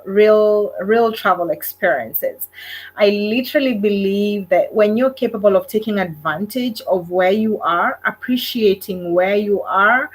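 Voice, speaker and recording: A woman's voice: medium pitch, breathy edge, poor audio. A Kenyan woman hosting a casual livestream, talking with many fillers and hesitations and speaking straight to her viewers. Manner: addresses live viewers directly. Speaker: livestream host. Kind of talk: casual solo livestream talk.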